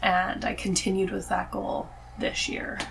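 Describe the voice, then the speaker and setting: Female podcast guest, gravelly fry on a mid-pitched voice, loose and conversational, slight background noise. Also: completely flat delivery, a little slow. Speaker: podcast guest, host's wife. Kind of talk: casual conversation about reading.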